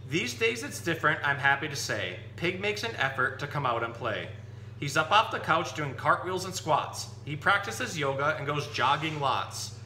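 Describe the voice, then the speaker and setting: A man's voice: a little high, lively intonation, normal speed, bright, forceful tone. A man giving an informal, explanatory animal lesson and reading a picture book aloud for children. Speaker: zookeeper presenting animals and reading stories to children. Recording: roomy echo with background noise and hum.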